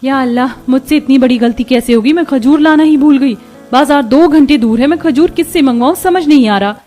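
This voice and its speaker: A chatty young housewife from a cartoon family story, played by a woman. Her voice is high and expressive. She talks in a friendly, helpful, neighbourly way. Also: brisk, soft background music, close to an everyday voice. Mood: distressed.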